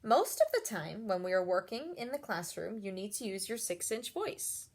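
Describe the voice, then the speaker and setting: A woman's voice: mid-range voice, big pitch swings, normal speed, breathy edge. A woman voicing a kind, patient storybook schoolteacher who coaches a pupil in an encouraging, instructive way.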